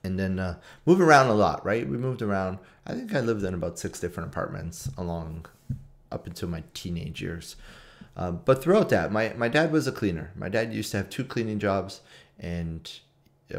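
A Canadian man in his late thirties speaks in a deep register. He tells a personal story in an informal, conversational way, often repeating a phrase to drive it home. It has the feel of a video host talking straight to his followers from a room at home. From Toronto, Canada. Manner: informal, conversational, with repetition for emphasis. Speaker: trader and trading community video host. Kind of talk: casual personal storytelling to camera.

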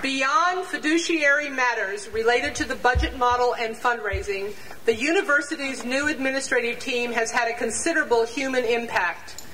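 An older woman reads a prepared statement at an outdoor rally in a measured, deliberate way, now and then restarting a phrase. Her voice sits fairly high and reaches the mic from some distance. A faint crowd murmur and open-air hiss sit behind her. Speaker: faculty speaker reading a statement at a rally. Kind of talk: reading a prepared statement aloud. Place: outdoor campus lawn before a large crowd. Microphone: standing mic on stand, picked up from a distance.

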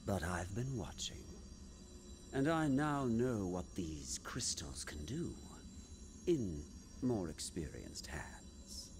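An actor playing a sly, gloating trickster god in a video game, smug, silky and theatrical. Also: male voice, low-pitched, big pitch swings, takes it slowly, slight background noise, heavily acted. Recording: music behind the voice.

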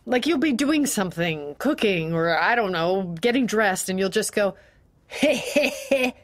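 A woman's voice: medium pitch, animated, a little slow, lightly acted. An actress playing an anxious wife who pleads with her husband in an audio drama. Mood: awkward, candid. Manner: emotional, pleading, nervous laughs. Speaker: actor.

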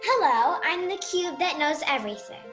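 A young performer as an all-knowing talking cube, high-voiced. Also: lively intonation, moderate pace, a bit bright. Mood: all-knowing, assured. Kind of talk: children's radio play acting. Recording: music behind the voice.

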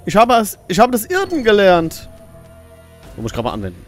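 A man's voice: sits high, wildly swooping pitch, a little fast. Male let's play host: casual, playful, swinging intonation, self-directed muttering, faint music underneath. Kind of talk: casual gaming commentary. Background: faint game music.

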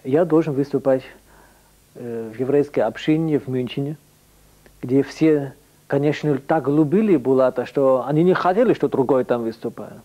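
A man in his fifties, a native German speaker with an accent tinged by the Caucasus, telling stories haltingly in a home living room as an interview guest. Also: mid-range voice, warm tone, low-quality recording. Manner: halting, searching for Russian words. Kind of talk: storytelling in conversation.

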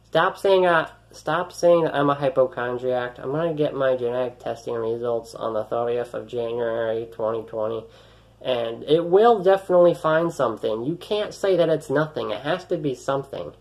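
A man's voice: mid-range voice, moderate pace. A young man ranting frustrated into a phone held at arm's length in a small room, his voice light and youthful, rambling, swearing and restarting his sentences. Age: young adult. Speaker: vlogger. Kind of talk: ranting selfie vlog. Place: small room indoors, then outdoors on a road.

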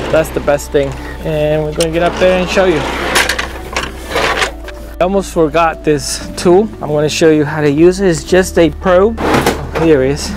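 Contractor, 30s, slightly high voice, lively and informal, recorded outdoors. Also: male voice. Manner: energetic, informal, demonstrating with tools. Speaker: roofing company owner. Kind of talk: on-site vlog walkthrough. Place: outdoors on a residential rooftop. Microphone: lapel.

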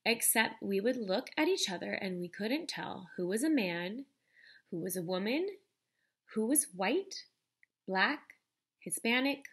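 A young adult woman, a teacher, reading a children's picture book aloud in a deliberate, expressive way, speaking directly to young listeners. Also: a little high.

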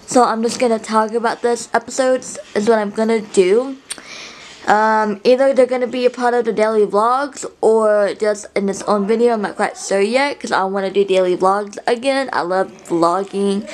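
A woman's voice: medium pitch, steady tone, normal speed, a little hiss or hum. A young woman vlogging casually in her bedroom, talking to a handheld camera held close to her face, rambling with lots of fillers and restarts. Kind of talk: casual vlog chat to camera. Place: small bedroom, indoors.

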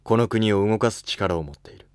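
A man voicing a retired emperor in a historical drama, shrewd and composed, with calm authority. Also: on the low side, fairly quick, clean tone, no room sound, clean studio sound, natural voice. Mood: appraising. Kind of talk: amateur historical drama dialogue.

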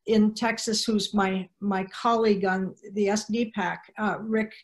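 An older woman gives informal remarks on a video-call panel. Her voice sits at a middle pitch. She speaks haltingly, searching for words as she goes. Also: fairly even intonation. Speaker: panelist, activist coordinator. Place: room at home, on a video call.

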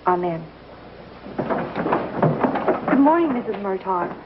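An actress playing an earnest, polite frontier schoolteacher in a television western, with measured, proper diction. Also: female voice, mid-range voice, sing-song. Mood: composed, devout. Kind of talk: mid-century TV western acting. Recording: dull, slightly noisy old soundtrack.

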